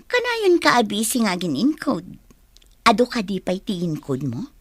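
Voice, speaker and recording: Woman acting snooty whiny young office girl, radio drama, really animated, close dry sound. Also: medium pitch, a little slow, no echo. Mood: haughty. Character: vain, easily annoyed young woman office worker.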